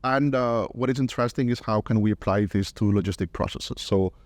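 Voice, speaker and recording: A middle-aged man being interviewed at a conference, speaking haltingly and often repeating his words. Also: fairly low pitch, no echo. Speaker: interviewed corporate marketing director. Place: medium conference room, indoors.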